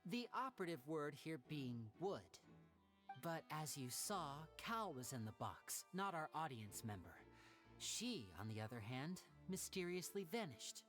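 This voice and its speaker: Young showman magician, lowish for female range, animated, poised; game dialogue over music. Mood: smooth showmanship. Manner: theatrical, measured explaining. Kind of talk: anime game dub dialogue.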